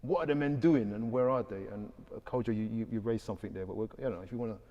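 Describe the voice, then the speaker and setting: A man's voice: lowish voice, fast, warm tone, dull audio. A British man hosting a casual panel discussion, upbeat and conversational, asking questions. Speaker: podcast host. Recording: hall reverb.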